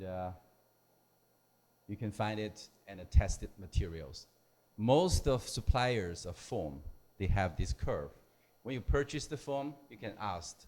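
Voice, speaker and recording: A man who is a non-native English speaker giving a technical lecture in halting, simple phrases. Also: on the low side, a little mellow. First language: not English. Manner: halting, hesitant delivery with simple broken phrasing. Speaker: technical presenter at a symposium.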